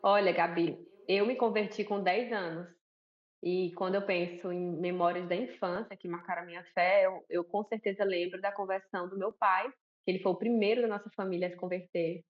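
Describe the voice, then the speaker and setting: A woman's voice: on the low side, steady tone, fairly quick, highs cut off. A woman from Alagoas with a Northeastern Brazilian accent, a guest in a TV interview, talking in a chatty, conversational way with many tag questions. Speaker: guest, missionary and pastor's wife.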